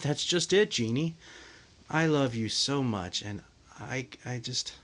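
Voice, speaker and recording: A man playing an anxious, lovesick young fiancé in a 1930s-style radio drama, halting and melodramatic. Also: mid-range voice, animated, a bit bright. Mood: tender.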